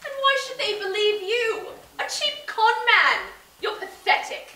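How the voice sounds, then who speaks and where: A woman's voice: very high voice, big pitch swings, unhurried, sharp tone, a little hiss or hum, heavily acted. A woman playing a genteel young heiress with a well-bred, dramatic manner, recorded far from the mic in an echoey room.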